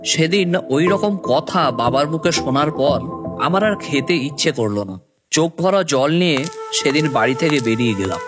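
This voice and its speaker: A man's voice: on the high side, expressive, normal speed, natural voice. Man voicing a dejected young son, music behind. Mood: melancholy narrating. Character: dejected unemployed young son telling his own story. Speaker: narrator and lead character. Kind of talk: first-person dramatic story narration. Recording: music bed under voice.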